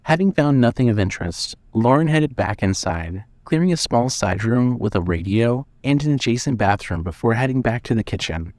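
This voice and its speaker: A man's voice: mid-range voice, lively intonation, moderate pace, hardly any pauses, darkish tone, dry recording. A man narrating scripted suspense fiction as the storyteller of an audio drama.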